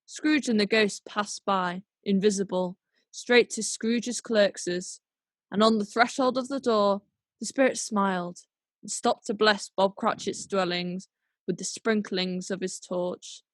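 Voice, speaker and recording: A woman's voice: medium pitch, fairly even intonation, measured pace, no room sound, close to an everyday voice. A woman narrating a classic tale as a scripted radio play, in storybook style. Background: music.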